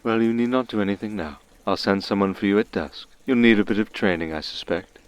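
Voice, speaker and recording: A scheming vampire lord acted by a man speaks in a very deep register. He is commanding and suave, with a smug, teasing edge and measured formal phrasing, in the style of an intimate ASMR roleplay. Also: clear, resonant tone, lightly acted. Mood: calmly scheming.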